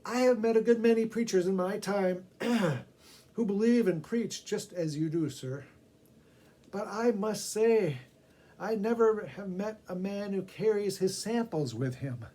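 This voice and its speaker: A man voicing a sly hotel diner in a storybook tale, clearing his throat and asking pointed, emphatic questions. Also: high-pitched, a little slow, warm tone, voice pushed up.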